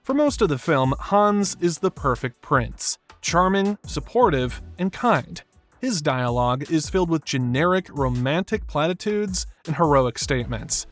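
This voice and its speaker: A man's voice: a little high, very animated, unhurried, soft background music. A man reading scripted video-essay narration in an emphatic, opinionated way.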